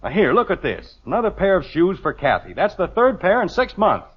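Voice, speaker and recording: Fed-up american sitcom dad, sarcastic, kinda high animated voice, old radio. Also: male voice, a little fast, husky, full character voice. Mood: stern, sarcastic. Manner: blustering, sarcastic. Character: exasperated, thrifty middle-aged father and husband. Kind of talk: 1950s radio sitcom acting. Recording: dull vintage radio recording.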